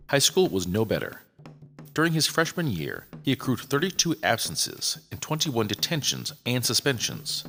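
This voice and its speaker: A man's voice: mid-range voice, a bit bright. A man reading a scripted true-crime story as a podcast narrator.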